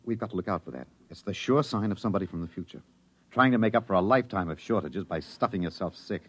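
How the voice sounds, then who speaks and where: An actor playing a tense, hunted husband on the run in a 1950s radio drama, urgent and protective. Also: male voice, deep voice, fast talker, a bit bright, husky. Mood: bitter, wary. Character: tense, hunted husband, a fugitive time traveler.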